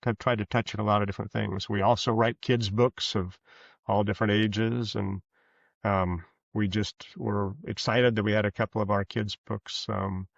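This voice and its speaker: A man giving conversational interview answers as a guest author, in a hesitant, halting way, often correcting himself. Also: lowish voice, flat delivery, creaky, dry recording, muffled recording.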